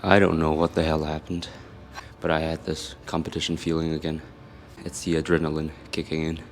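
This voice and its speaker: Young korean guy doing a fake british-ish accent, low flat voice, swears a bit, jokes at himself. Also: brisk. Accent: put-on British-leaning English accent. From South Korea. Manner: candid and self-mocking, swears casually. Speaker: powerlifting vlogger. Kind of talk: casual voice-over narration.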